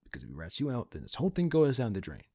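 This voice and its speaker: A man playing a cynical, pragmatic partner in crime to a vigilante in an ASMR roleplay, talking in a casual, halting, conspiratorial way. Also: medium pitch, huge pitch swings, warm tone. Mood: businesslike planning. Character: cynical, pragmatic male criminal accomplice to a vigilante.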